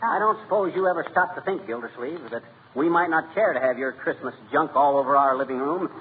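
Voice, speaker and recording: An actor playing a blustery, wisecracking husband in a 1940s radio comedy, folksy and sarcastic, given to chuckling. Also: male voice, a little high, a little fast, close to an everyday voice. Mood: grumbling. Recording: scratchy, narrow-band 1940s radio broadcast.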